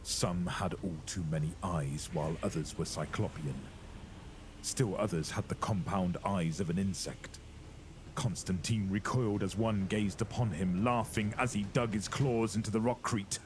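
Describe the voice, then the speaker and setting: A man's voice: sits low, moderate pace, background noise. A man narrating a horror audio drama in a grave, ominous, scripted dramatic storytelling style.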